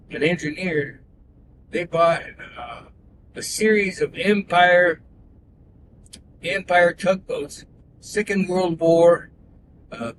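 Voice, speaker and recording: A man from Canada, born in Belgium, telling long folksy sailing stories as a rideshare passenger, full of "uh" and restarts. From born in Belgium, raised in Canada. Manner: folksy, digressive, with frequent "uh" and restarts. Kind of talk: rambling casual storytelling. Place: inside a moving car. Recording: hidden mic in a car, road noise. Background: road rumble.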